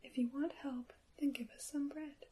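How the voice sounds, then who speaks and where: A woman voicing tiny helpful storybook mice in a soft whisper, speaking in rhyme. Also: high voice, fairly even intonation, moderate pace, natural voice. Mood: friendly bargaining.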